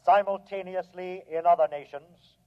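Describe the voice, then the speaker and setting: A man's voice: high-pitched, fairly even intonation, normal speed, clean tone, natural voice. A man playing a haughty, courteous alien humanoid conqueror in formal, condescending tones, in 1950s radio drama style. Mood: coolly imperious. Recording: muffled vintage 1950s radio recording.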